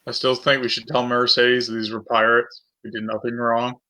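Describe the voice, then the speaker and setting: A man's voice: mid-range voice, flat delivery, normal speed, sharp tone. A man bantering casually as a player in a tabletop role-playing game.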